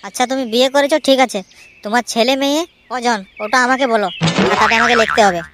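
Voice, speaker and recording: A man's voice: very high-pitched, fast, bright, forceful tone, a little hiss or hum. A man voicing a nosy, bumbling village census surveyor in a comic cartoon, hesitant and muttering, with a rural West Bengal accent. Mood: nosy, scheming. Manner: hesitant, meddling, muttering crude asides.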